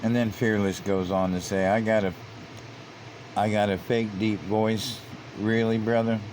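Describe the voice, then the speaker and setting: A man's voice: fairly low pitch, level tone, a little slow, darkish tone, slight background noise, low-quality recording. A man commenting on online comments, reading them out and repeating himself as he grows heated and emphatic. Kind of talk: casual commentary.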